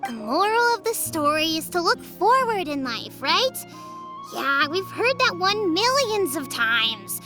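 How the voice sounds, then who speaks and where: A woman's voice: very high-pitched, very animated, a little slow, heavily acted. A sulky young boy. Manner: whiny, sulky. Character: sulky young boy upset about family. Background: game music.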